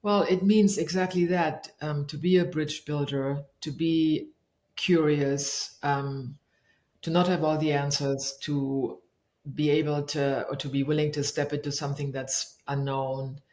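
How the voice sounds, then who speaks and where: A middle-aged woman from Germany, a leadership coach, answering interview questions thoughtfully and pausing often to search for her words. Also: deep voice, fairly even intonation, darkish tone. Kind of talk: reflective interview conversation. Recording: echoey room.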